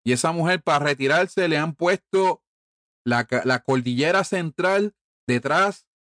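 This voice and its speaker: A man's voice: fairly high pitch, a little slow, fairly bright tone, dry recording, clean studio sound. A middle-aged man from Puerto Rico with a Puerto Rican Spanish accent, delivering a heated, profane political monologue full of rhetorical questions.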